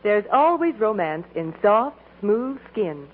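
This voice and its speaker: A woman's voice: medium pitch, sing-song, slow. A woman playing a glamorous film star giving beauty tips in a 1940s radio testimonial, on a tinny recording. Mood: poised.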